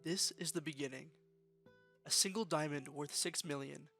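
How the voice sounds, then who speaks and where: Man acting a creaky, lightish young gang junior, narrating. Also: on the high side, pushed and bright. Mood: ominous, reflective. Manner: matter-of-fact, deliberate, slangy. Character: young male student gofer, junior gang member, matter-of-fact narrator. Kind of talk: first-person scripted narration, anime-style fan dub. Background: music with guitar.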